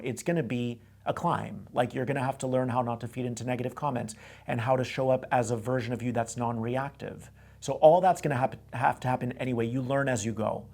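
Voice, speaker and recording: A man's voice: mid-range voice, vocal fry, a little mellow. A man hosting a call-in advice show, coaching a caller in a direct way and often repeating himself. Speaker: host giving advice.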